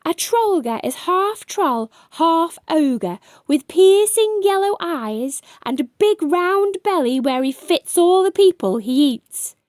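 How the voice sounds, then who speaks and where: A woman voicing a frightened, excitable young boy in a children's storybook, with an earnest manner. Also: very high voice, slow, few pauses, no room sound, studio quality, pitched above the performer's own voice, exaggerated voice. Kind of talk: children's storybook character reading.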